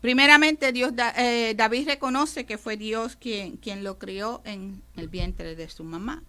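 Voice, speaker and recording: A woman's voice: a little high, measured pace, sharp tone. A woman pastor with a Puerto Rican accent, teaching emphatically on a radio devotional program and speaking straight to her listeners. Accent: Puerto Rican Spanish. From Puerto Rico. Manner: emphatic, addresses listeners directly as brother.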